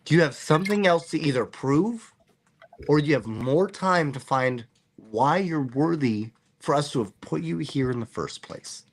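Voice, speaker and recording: A man hosting a podcast in casual chat, animated and joking as he rambles through his musings. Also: a little high, moderate pace, low-quality recording. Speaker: podcast host.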